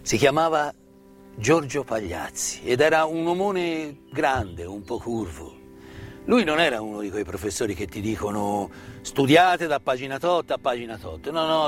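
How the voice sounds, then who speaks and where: Middle-aged man, theatrical storyteller over music. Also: a little high. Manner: deliberate, emphatic phrasing with dramatic pauses. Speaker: stage actor and storyteller. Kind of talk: theatrical narrative monologue. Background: live instrumental music.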